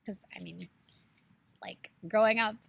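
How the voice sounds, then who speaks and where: A woman's voice: medium pitch, steady tone, unhurried, creaky, slightly rough. A woman co-hosting a casual book club podcast, talking earnestly and rambling with plenty of "like" and "you know".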